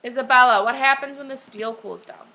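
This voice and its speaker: A woman teaching young children in a classroom, reading aloud and instructing deliberately, with repeated questions and key phrases. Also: high voice, fairly even intonation, bright, forceful tone, slight background noise, low-quality recording. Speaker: elementary school teacher. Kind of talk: classroom read-aloud lesson.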